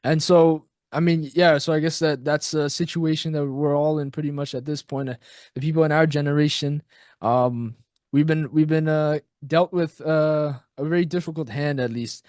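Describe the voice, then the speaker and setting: A young man hosting a podcast, asking measured, reflective questions in casual interview talk. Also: a little high, fairly even intonation, a little mellow, no echo, clean studio sound. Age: young adult. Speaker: podcast host.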